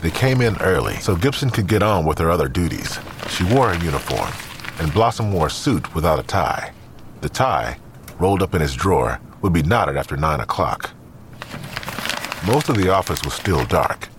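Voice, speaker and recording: A very low-voiced man narrates a police drama in measured scripted prose. His pitch moves expressively, with clear ambient noise behind him. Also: big pitch swings. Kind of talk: scripted third-person audio drama narration.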